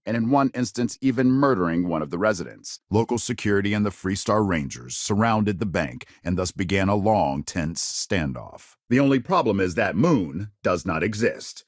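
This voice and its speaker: An actor playing a serious male news anchor in a sci-fi game, delivering scripted broadcast lines in a measured, emphatic way. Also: fairly low pitch, dry recording, clean studio sound.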